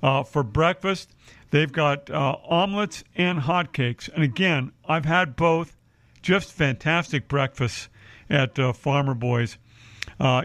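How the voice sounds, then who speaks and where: A man's voice: on the high side, fairly bright tone, dry recording. A man hosting a live radio shopping show, reading deals and taking calls, with lots of uh fillers. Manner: chatty, many uh fillers. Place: radio studio.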